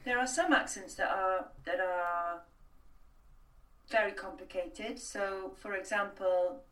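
A woman's voice: medium pitch, steady tone, slow, fairly bright tone. A woman working as a voice coach, a Welsh speaker, answering interview questions in a chatty way with many restarts and fillers. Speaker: voice and accent coach. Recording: distant, roomy sound.

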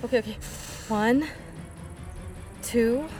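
Higher-pitched, determined woman hiker in peril. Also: slow pace, music in the background. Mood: determined, reassuring. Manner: urgent, foul-mouthed, reassuring under pressure. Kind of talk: full-cast audio drama acting.

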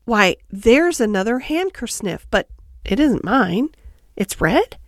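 Woman voicing a high-voiced, curious little girl. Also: big pitch swings, slow, no room sound, studio quality, exaggerated voice. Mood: excited, curious. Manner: inquisitive, direct. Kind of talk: children's storybook character voice.

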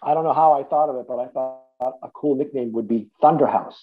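A man's voice: medium pitch, fairly quick. A man in his fifties from the Boston area, telling stories as an interview guest in a rambling, casual way with many restarts. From Boston area, USA. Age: 50s. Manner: rambling storytelling with many restarts and "you know". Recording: tinny phone-like line.

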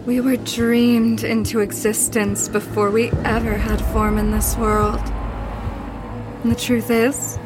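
A woman playing an eerie, seductive demon woman born of nightmares, ominous and measured, in a horror audio drama. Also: fairly high pitch, little pitch movement. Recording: loud music over the voice.

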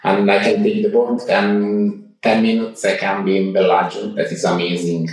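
A man's voice: fairly low pitch, flat delivery, normal speed, very echoey, distant mic, rough recording. A man with an Italian accent speaking English as a second language, answering interview questions hesitantly with many fillers and restarts. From Italy. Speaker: guest. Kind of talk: casual interview. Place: home in Switzerland.

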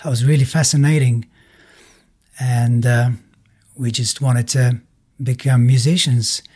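Musician guest, male, middle-aged, Bulgarian accent. Relaxed, warm tone, medium pitch, searches for words while recounting memories. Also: husky. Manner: halting, searching for words in non-native English. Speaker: musician being interviewed. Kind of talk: casual interview, personal storytelling.

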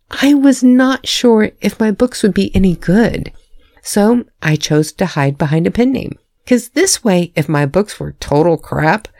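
Woman podcast host, candid and self-mocking, gravelly low voice, close and dry. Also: dark tone, no room sound. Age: adult, married. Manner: candid, self-deprecating, laughs at herself. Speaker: author hosting her own podcast. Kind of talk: reading a prepared personal talk.

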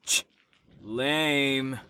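A woman's voice: rumbling low, extremely slow, bright, forceful tone, slight background noise, exaggerated voice. A woman playing a brash, rebellious young slacker in an audio drama, teasing and sarcastic in slangy speech.

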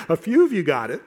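Man, middle-aged, preacher, sermon delivery, somewhat high pitch, earnest, emphatic, deliberate repetition. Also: breathy edge. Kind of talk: sermon to a congregation.

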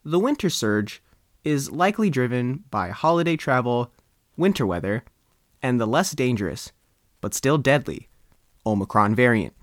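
A man with an American accent reading a scripted news report as a reporter, in deliberate phrases with frequent pauses. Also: medium pitch, very animated, no echo, studio quality. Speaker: newspaper reporter narrating.

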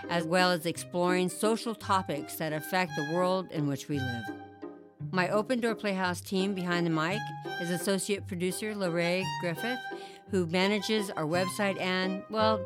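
Female podcast host: lowish pitch, deliberate scripted announcing, music bed underneath. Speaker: host introducing a podcast audio play. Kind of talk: scripted narration.

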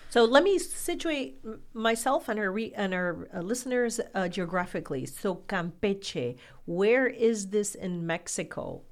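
A woman hosting a podcast, interviewing conversationally in deliberate, probing phrases. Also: medium pitch, lively intonation.

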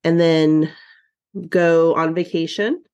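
Lowish nasal woman, stuffed up, chatting easy on a podcast. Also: fairly even intonation, slow, a little mellow, smooth, lo-fi sound. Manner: chatty, self-deprecating, trails off mid-sentence. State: congested, recovering from covid. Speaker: podcast co-host, therapist. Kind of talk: casual chat.

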